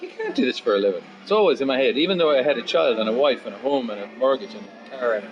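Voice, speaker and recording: A man of fifty talks in a casual, philosophical interview. His manner is blunt and sweary, wandering off into animated asides. His mid-pitched voice is caught on a dull, lo-fi recording. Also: racing, over music. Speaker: musician and guitar teacher being interviewed.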